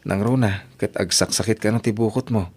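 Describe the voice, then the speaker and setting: A man's voice: on the low side, fairly quick, darkish tone, husky. A man acting as a guilt-ridden, generous young man in an Ilocano radio drama, solicitous and insistent, with an Ilocano accent. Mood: guilty, concerned.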